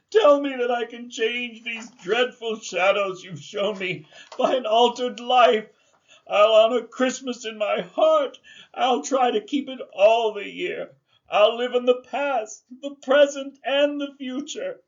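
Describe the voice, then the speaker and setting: A man acting a frightened old miser pleading with a ghost, tremulous, halting and melodramatic, in a theatrical radio drama. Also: extremely high pitch, highs cut off, exaggerated voice. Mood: desperate pleading.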